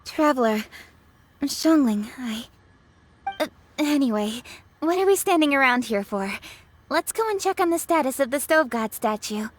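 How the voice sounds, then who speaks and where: A woman's voice: high voice, animated, moderate pace, a little hiss or hum. A woman voicing a proud, serious young woman official in a video game, formal and easily flustered. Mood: awkward. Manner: defensive, formal, hesitant. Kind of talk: animated game voice acting.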